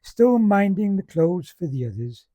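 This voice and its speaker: A man reciting a comic verse monologue in measured, dialect-rich storytelling fashion. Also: sits high, very animated.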